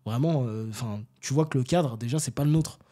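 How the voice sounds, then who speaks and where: A man's voice: mid-range voice, a little fast, dark tone. Casual, slangy man telling stories. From France. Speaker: interview guest. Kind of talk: casual conversational storytelling.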